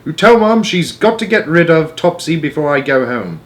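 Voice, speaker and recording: Man doing a cheerful boy mouse, pitched up. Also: very animated, moderate pace, fairly bright tone. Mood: gleeful. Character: cheerful, silly greedy little boy turned mouse.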